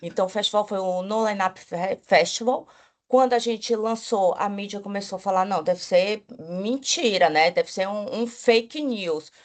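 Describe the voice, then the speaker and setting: A woman's voice: medium pitch, moderate pace. Woman, agency executive on a panel; eager, self-correcting, keeps tagging "né?". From Bahia, Brazil. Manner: enthusiastic, with frequent restarts and "né?" tags. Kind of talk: panel talk.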